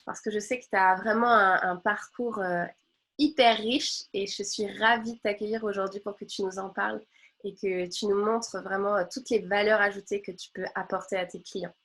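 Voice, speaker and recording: A woman's voice: medium pitch, fairly even intonation, normal speed, a bit bright. Enthusiastic woman hosting an interview. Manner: enthusiastic, exclaims often. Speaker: host. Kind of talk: conversational interview.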